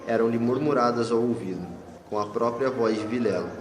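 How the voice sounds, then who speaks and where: A man's voice: mid-range voice, moderate pace, noisy background. A Brazilian man narrating a scripted radio drama. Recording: echoey hall, long reverb, music bed. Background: music.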